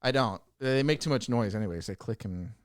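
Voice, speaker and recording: A man's voice: medium pitch, fairly quick, no echo, clean studio sound. A man co-hosting a podcast, chatting casually and jokingly, happy to argue a point with his friends. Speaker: podcast co-host. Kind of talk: casual comedic group chat.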